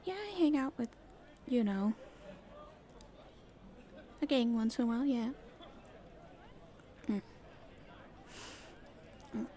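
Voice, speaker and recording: A woman playing a cheeky young street thief in an ASMR roleplay, chatting casually and haltingly to the listener. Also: on the high side, animated, dark tone. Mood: hesitant, evasive. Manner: casual, halting speech with hesitations.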